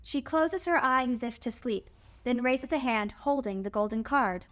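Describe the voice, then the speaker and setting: A woman's voice: a little high, moderate pace. A woman narrating stage directions from a script at a table read.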